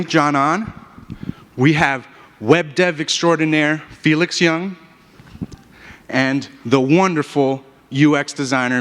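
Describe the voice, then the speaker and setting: A man presenting his team's hackathon app, hyping the crowd and running quick audience polls. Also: on the high side, fairly bright tone. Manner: upbeat showman, crowd polls. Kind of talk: rehearsed pitch presentation. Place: conference stage. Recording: long hall reverb.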